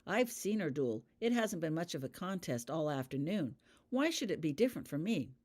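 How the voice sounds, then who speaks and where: Woman voicing a frank, animated teenage boy wizard. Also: low voice, moderate pace. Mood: confident, puzzled. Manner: frank, self-assured. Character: confident, frank teenage schoolboy wizard duelist.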